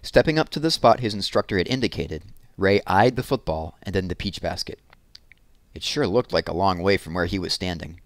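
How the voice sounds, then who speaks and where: A man's voice: low voice, a little fast, no room sound. A man reading a children's story aloud as a storyteller.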